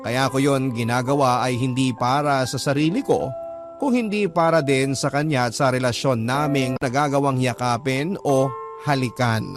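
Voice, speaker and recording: Man narrating a confessional love story. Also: mid-range voice. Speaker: letter narrator.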